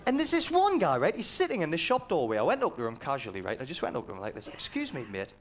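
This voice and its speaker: A man from northeast England with a Geordie accent, doing a deadpan, punchy stand-up routine with dark jokes. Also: fairly high pitch, fast. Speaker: stand-up comedian. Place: comedy club stage. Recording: live stage, crowd and noise.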